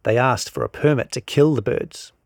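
A man's voice: mid-range voice, animated, normal speed, dry recording, studio quality. A man narrates a scripted long-form article, reading out both the reporting and the quotations.

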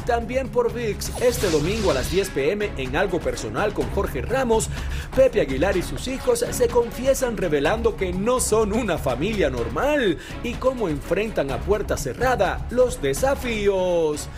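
A man narrating scripted entertainment headlines as a presenter, in an animated manner. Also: high voice, measured pace, music in the background.

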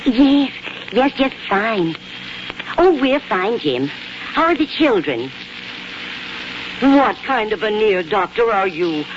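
A woman's voice: mid-range voice, sing-song, slow, pauses often, background noise. An actress playing a fretful, doting middle-aged small-town mother in 1940s radio drama, eager and folksy. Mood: eager, excited. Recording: dull 1940s radio, music behind.

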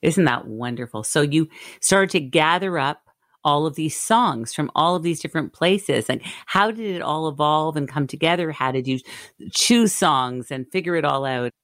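A middle-aged woman hosting an interview show, asking long set-up questions in a warm, gushing way. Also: low-pitched, animated, moderate pace, no echo, clean studio sound. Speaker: radio show host.

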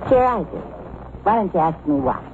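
A woman's voice: on the low side, expressive, moderate pace, close to an everyday voice. Casting: woman playing tipsy, teasing, world-weary moll, swooping intonation, tinny vintage radio sound. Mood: boozy, teasing. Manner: sardonic, teasing. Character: boozy, sardonic gangster's girlfriend, worldly and bitter. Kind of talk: old-time radio drama acting. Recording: noisy narrowband old radio recording.

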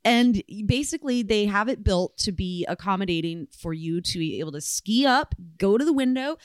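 Woman co-host for a chatty podcast, informal and colourful, smooth tone, no room sound. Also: mid-range voice, animated, normal speed, no echo, studio quality. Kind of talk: casual chat.

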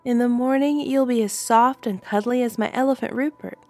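A woman's voice: a little high, moderate pace, mellow, faint music behind, voice pushed up, close to an everyday voice. A woman doing a sweetly sinister little girl. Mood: gleeful. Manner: sing-song, coaxing, childish.

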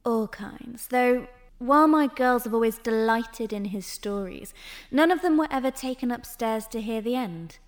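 An actress playing a poised, sly tavern madam in a fantasy audio drama, courteous, coy and measured. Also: female voice, fairly high pitch, close to an everyday voice. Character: poised, sly female tavern and brothel owner.

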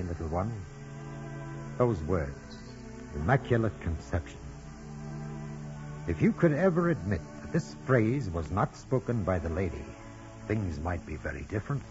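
An actor playing a kindly, solemn parish priest in 1940s radio drama style, measured and gently paternal. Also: male voice, sits low, sing-song. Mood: gentle, probing. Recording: dull vintage radio recording, music behind.

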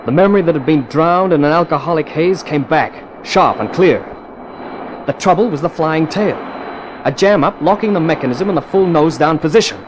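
A man's voice: fairly high pitch, background noise, close to an everyday voice. A man playing a cool, wry jet test pilot who narrates his own adventure in clipped, dramatic 1950s radio thriller style. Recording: old radio drama with music underneath.

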